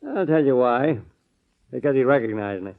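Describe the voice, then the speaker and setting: An American actor playing a smooth-talking, menacing criminal in a 1940s radio drama. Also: male voice, mid-range voice, expressive, moderate pace, close to an everyday voice. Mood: confessing, smug. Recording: tinny, band-limited old radio recording.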